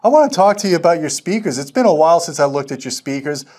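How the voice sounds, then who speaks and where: A man's voice: fairly high pitch, big pitch swings, quick pace, a little mellow. A middle-aged American man hosting a casual video interview in an enthusiastic, chummy way. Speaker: host of audio review show. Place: small indoor demo room. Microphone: lapel mic.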